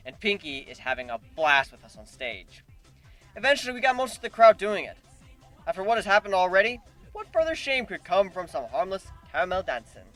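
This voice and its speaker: A man's voice: sits high, sing-song, brisk, pushed and bright, soft background music. A man giving a dramatic first-person reading of a story as its narrator. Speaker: narrator of a fan fiction reading.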